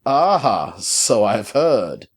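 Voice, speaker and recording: A man's voice: mid-range voice, big pitch swings, extremely slow, husky, pitched above the performer's own voice, heavily acted. Man playing an icy, pompous master, swooping delivery. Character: cold, pompous, irritable male master in authority.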